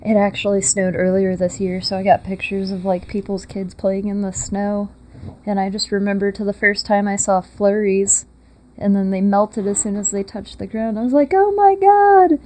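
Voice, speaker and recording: A woman's voice: mid-range voice, normal speed, mellow, slight background noise, low-quality recording. Chatty young woman. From Texas. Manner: chatty, laughs often, loose storytelling with fillers. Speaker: guest. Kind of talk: casual interview.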